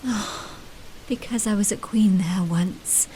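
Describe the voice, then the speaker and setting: A woman plays a dying young woman in a radio drama, feverish and wistful. Her voice is breathy and whispery, slow and dreamy, over noisy ambience. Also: mid-range voice, dark tone, natural voice. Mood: feverish longing.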